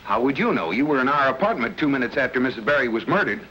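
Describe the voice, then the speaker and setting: An actor playing an exasperated, grumbling but mild husband in an old-time radio mystery. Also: male voice, medium pitch, sing-song, quick pace, sharp tone, muffled recording, a little hiss or hum. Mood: firm. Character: exasperated, grumpy husband in a tuxedo, mild-tempered.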